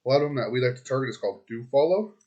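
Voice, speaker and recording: A man with a hoarse voice walks a client through his screen, talking casually and directly. His delivery is unpolished and conversational, full of ums, restarts and thinking aloud. His pitch sits in an ordinary middle range. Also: animated, racing, mellow, muffled recording. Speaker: web marketing consultant. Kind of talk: casual screen-recorded walkthrough.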